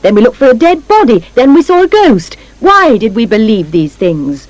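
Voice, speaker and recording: A woman voicing a rueful, declamatory village man explaining himself in a storybook, with drawn-out emphasis. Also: medium pitch, very animated, dry recording. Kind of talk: dramatised storybook reading.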